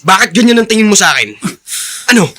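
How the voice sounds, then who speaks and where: A man's voice: high voice, big pitch swings, fast talker, sharp tone, exaggerated voice. A man acting a hot-headed, spoiled, whining bully of a son with a Filipino accent in a radio drama. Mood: defiant. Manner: defiant, whining.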